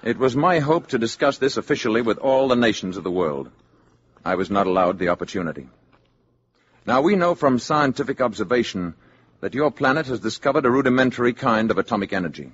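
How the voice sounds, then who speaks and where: An actor playing a calm, grave, courteous alien emissary in a measured, formal 1950s radio drama style. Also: male voice, mid-range voice, slightly rough. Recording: dull 1950s radio recording.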